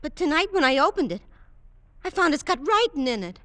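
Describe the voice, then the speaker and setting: A woman's voice: high voice, sing-song, fairly quick, a bit bright, no echo. A woman playing an anxious, insistent working-class wife in a 1940s radio drama, urgent and colloquial. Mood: worried. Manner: breathlessly urgent, pleading, colloquial. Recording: vintage radio recording.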